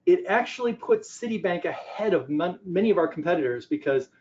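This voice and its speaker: A man's voice: sits high, expressive, fairly quick, a little mellow, a little hiss or hum. An older man giving a conversational presentation on business technology, explaining things by posing questions and answering them. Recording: dull, lo-fi audio.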